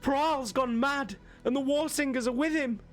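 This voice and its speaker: A man's voice: very high-pitched, moderate pace, faint music behind, voice pushed up. A man voicing a terrified female officer, frantic and breathless as she pleads for rescue. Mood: panicked. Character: terrified woman officer pleading amid massacre.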